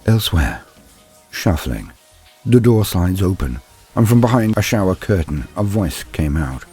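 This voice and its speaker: Low, husky, dramatic male narrator. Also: big pitch swings, a little slow, mellow, soft background music. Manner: theatrical, solemn. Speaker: narrator and channel host.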